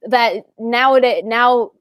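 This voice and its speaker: Woman, fairly high voice, dry close sound, giggly and animated. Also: slow pace, clean tone, no echo. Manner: giggly, animated, laughs often. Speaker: panel guest. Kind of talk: casual panel discussion.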